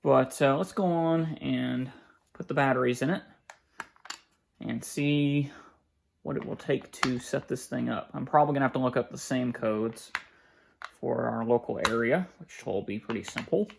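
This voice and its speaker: Gravelly-voiced man casually narrating an unboxing. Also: mid-range voice. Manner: halting, informal, thinking aloud as he handles things. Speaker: hobbyist collector presenting an unboxing. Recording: faint background noise, clicks of handling.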